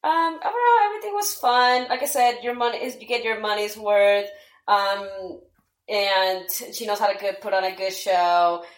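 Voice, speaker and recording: A woman's voice: mid-range voice, normal speed. A woman guest in a casual podcast chat, talking enthusiastically with lots of fillers. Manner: enthusiastic, chatty, many fillers and restarts. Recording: echoey room.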